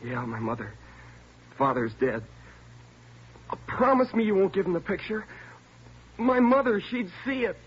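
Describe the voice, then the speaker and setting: An actor playing a scared twenty-year-old car thief in a 1950s radio drama, sullen at first and then pleading. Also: male voice, high-pitched, very animated, moderate pace, long pauses, breathy edge, heavily acted. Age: young adult. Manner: sullen and curt, breaking into frantic pleading. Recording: dull old radio broadcast with faint hiss.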